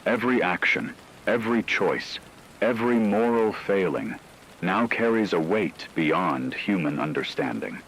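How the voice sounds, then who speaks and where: A man's voice: sits low, expressive, unhurried, fairly bright tone, slight background noise, exaggerated voice, rough recording. A man playing a grave radio news reporter, narrating supernatural terror in a solemn, formal, ominous scripted style.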